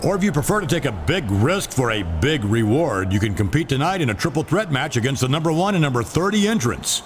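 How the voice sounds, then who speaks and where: A man's voice: mid-range voice, sing-song, brisk, slight background noise, lightly acted. A man playing a formal, authoritative wrestling company boss in scripted game dialogue. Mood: businesslike.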